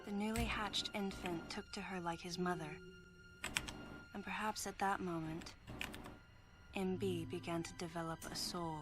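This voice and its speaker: A woman playing a pensive soldier who narrates her memories in a measured, introspective game monologue. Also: fairly low pitch, fairly even intonation, lightly acted. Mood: reflective. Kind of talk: scripted dramatic game narration. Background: cinematic game music.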